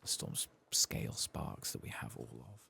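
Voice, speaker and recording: A man speaking softly and at times whispering in ASMR gameplay commentary, murmuring and musing to himself close to the microphone. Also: normal speed, a little hiss or hum. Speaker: host of a gaming ASMR channel.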